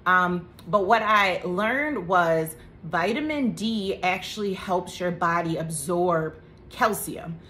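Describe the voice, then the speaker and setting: Woman in her thirties, lowish voice, chatty health vlog, joking asides, faint room hum. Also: slow pace, a bit bright. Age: 30s. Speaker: vlogger. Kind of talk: casual health talk to camera.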